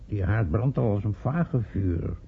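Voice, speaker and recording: An actor playing a calm, down-to-earth husband in a radio play, soothing and matter-of-fact. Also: male voice, lowish voice, measured pace. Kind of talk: radio play acting in Dutch. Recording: very muffled old radio recording, faint noise.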